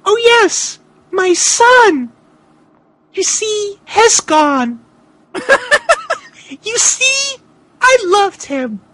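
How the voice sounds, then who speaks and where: A performer voicing a cold, melodramatic, sorrowful woman in a slow, theatrical comic fanfiction reading. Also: very high-pitched, very animated, fairly bright tone, no echo, full character voice, rough recording. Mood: melodramatic grief. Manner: slow, theatrical, drawn-out delivery.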